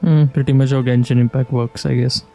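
A woman streamer gives casual, teasing commentary as she plays. Her voice is extremely deep for a woman and mellow, with faint game music underneath. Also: fairly even intonation, fairly quick. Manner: teasing, giggly, reacting aloud to the game.

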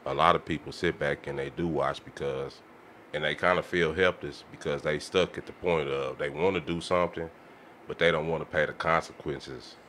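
A man's voice: extremely low pitch, steady tone, moderate pace, a bit bright, a little hiss or hum. A man talking casually in a round-table discussion, colloquial and repeating himself for emphasis. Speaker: podcast panelist. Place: small home-style podcast studio. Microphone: podcast microphone on boom arm.

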